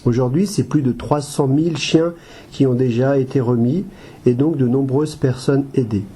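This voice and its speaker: A man playing a patient, formal and polite association adviser with a soft, slightly husky voice in an amateur audio drama. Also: mid-range voice, normal speed, natural voice. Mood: courteous, informative. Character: courteous, patient male guide-dog association adviser.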